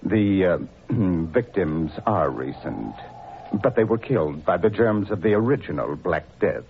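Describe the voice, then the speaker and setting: A man's voice: deep voice, animated, a little slow, narrow, tinny audio, slight background noise. An actor playing a mad, vengeful male scientist villain in an old radio play, with a theatrical, gloating delivery and sinister chuckles. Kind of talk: 1940s radio acting.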